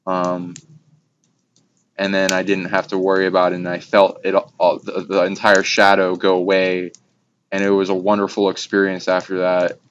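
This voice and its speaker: A man with a very low voice talks in long, wandering sentences. His delivery stays quite monotone, in the style of an informal spiritual talk. The recording is muffled and lo-fi. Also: normal speed. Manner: rambling, long run-on monologue. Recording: dull lo-fi recording with background noise.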